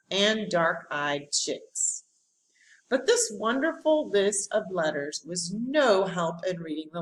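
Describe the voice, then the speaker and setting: A woman reads a children's history storybook aloud in a medium-pitched voice. Her delivery is unhurried and highly expressive, with deliberate pauses for drama. A light background noise sits behind her. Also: low-quality recording.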